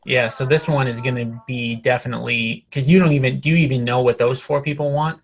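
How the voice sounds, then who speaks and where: A man with a medium-pitched voice leads a casual business conference call. He is direct and probing, coaching through short questions and plain comments. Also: steady tone, a little fast, gravelly. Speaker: call leader, real estate coach.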